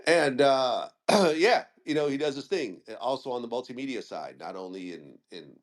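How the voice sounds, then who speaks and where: A man's voice: mid-range voice, lively intonation, normal speed. A man in his forties from Ukraine who grew up in Northern California, talking casually with frequent restarts and fillers. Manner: rambling, with many restarts and fillers. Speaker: guest interviewer and media host. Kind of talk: casual audio chat room conversation.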